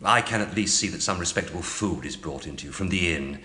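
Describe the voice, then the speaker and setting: An actor playing a timid, apologetic parish priest in a radio play, hesitant and rambling, easily flustered. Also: male voice, low voice, fast talker, bright, forceful tone. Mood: eager, rambling. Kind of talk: radio drama acting.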